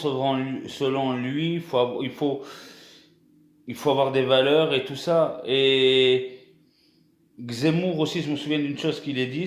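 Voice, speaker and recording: Slow, hesitant young French man. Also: a little high, reverberant. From France. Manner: hesitant, many restarts and fillers. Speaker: YouTuber. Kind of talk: casual vlog monologue.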